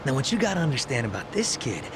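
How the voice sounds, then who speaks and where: An actor playing a streetwise, principled former gang boss in a video game, casual and persuasive over background noise. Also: male voice, mid-range voice, sing-song, fast talker, natural voice. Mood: businesslike. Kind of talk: English video game voice acting.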